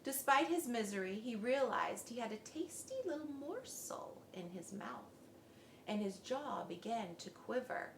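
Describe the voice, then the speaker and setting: A woman's voice: on the high side, lively intonation, unhurried, gravelly, noisy background. A woman reading a children's story aloud expressively, as a school librarian does. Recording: echoey room.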